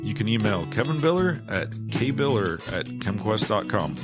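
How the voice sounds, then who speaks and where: A man's voice: fairly low pitch, very animated, normal speed, loud music behind the voice. A man co-hosting a podcast, reading out scripted announcements and listener questions. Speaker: podcast co-host.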